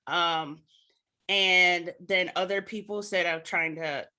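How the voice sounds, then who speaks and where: A woman's voice: lowish voice, fairly even intonation, slow, pushed and bright. An American woman speaking as a podcast guest, telling personal stories reflectively in an earnest, articulate way with proper diction. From United States. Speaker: podcast guest, literature scholar. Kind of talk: reflective personal storytelling in a conversational interview.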